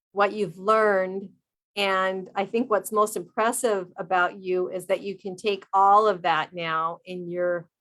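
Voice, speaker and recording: A woman's voice: medium pitch, a bit bright. A woman hosting a radio talk show, who reads a guest introduction and then interviews in an upbeat, enthusiastic manner.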